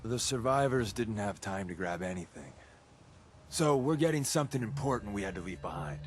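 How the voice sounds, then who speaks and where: An actor playing a breezy, wisecracking resistance spy in a video game, talking in a glib, casual, confiding way. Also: male voice, fairly low pitch, animated, moderate pace, slight background noise, natural voice. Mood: sober recounting.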